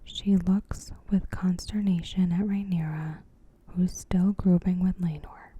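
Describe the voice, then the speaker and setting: A woman narrating an ASMR recap in a soft, breathy whisper, gentle and measured. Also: fairly low pitch, fairly even intonation.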